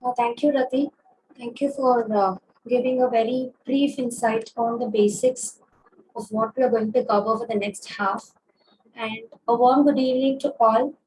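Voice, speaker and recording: A woman with an Indian English accent lectures over a video call, her high voice hoarse from a throat infection. She explains step by step with frequent fillers and restarts. A faint hiss sits under the call. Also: fairly even intonation, normal speed, a little mellow, poor audio. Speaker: consultant physiotherapist. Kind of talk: webinar lecture.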